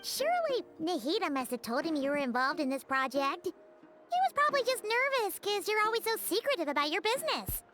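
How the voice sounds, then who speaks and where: A woman voicing a tiny, childlike, excitable fairy companion in a video game, in a squeaky voice. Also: fairly quick. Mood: curious. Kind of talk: animated video game character acting. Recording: game music behind the voice.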